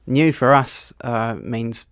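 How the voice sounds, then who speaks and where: A man's voice: mid-range voice, steady tone, takes it slowly, clear, resonant tone, dry recording, clean studio sound. Easygoing New man, cellist guest. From New Zealand. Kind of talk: relaxed interview answers. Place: radio studio.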